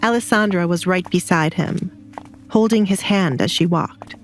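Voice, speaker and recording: A woman reads scripted story narration. Her voice is on the low side, and music plays behind her. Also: moderate pace.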